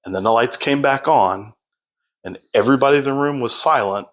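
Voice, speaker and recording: A man telling his story as a podcast guest in a loud, projecting voice, unhurried, with many fillers and restarts. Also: medium pitch. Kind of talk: casual storytelling.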